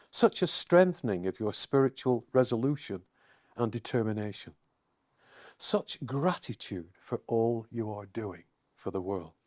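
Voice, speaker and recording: Male meditation guide: unhurried, soothing, deliberate pauses, dry close sound. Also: mid-range voice, darkish tone, dry recording.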